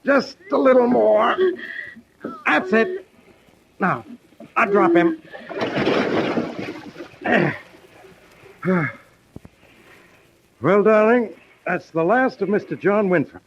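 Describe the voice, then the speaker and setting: Cold scheming killer, old noisy radio. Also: male voice, high voice, lively intonation, creaky, exaggerated voice. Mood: strained, urgent. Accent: American. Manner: commanding, deliberate. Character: cold scheming male killer, lover plotting calmly. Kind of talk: 1940s radio acting. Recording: noisy, dull 1940s radio recording.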